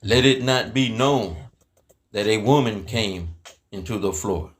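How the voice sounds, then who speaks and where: A man playing a kindly, honourable landowner who speaks formally and deliberately in a dramatized scripture story. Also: fairly low pitch, close to an everyday voice. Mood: reassuring.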